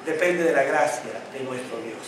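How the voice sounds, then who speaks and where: A man's voice: medium pitch, moderate pace, background noise. Man preaching in an echoey church. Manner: call-and-response questions to the congregation; says he has a tongue-tie that tangles his speech. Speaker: pastor. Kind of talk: sermon. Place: church hall. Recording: very echoey hall, mic at a distance.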